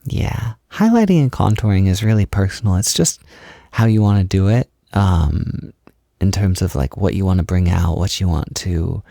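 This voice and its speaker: A man playing a tender, encouraging partner in an intimate roleplay audio, speaking softly in a gentle, hesitant, reassuring way. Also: deep voice, lively intonation, normal speed, dry recording, clean studio sound. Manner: gentle, reassuring, hesitant, with breathy whispered moments. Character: tender, encouraging man comforting partner while doing makeup. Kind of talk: intimate roleplay audio, soft ASMR talk.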